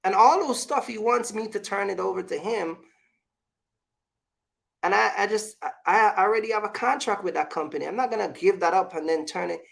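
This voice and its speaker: A man's voice: high-pitched, a little fast, vocal fry, a bit bright. A man talking casually as a vlog host, giving business advice and personal stories in an emphatic, repetitive way, often checking in with the listener.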